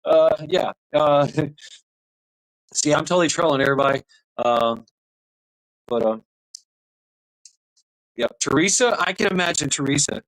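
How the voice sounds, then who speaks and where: A man's voice: on the high side, animated, measured pace, pauses often, dry recording, low-quality recording. A man hosting a casual livestream, rambling in a tipsy way, full of laughs and fillers. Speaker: livestream host.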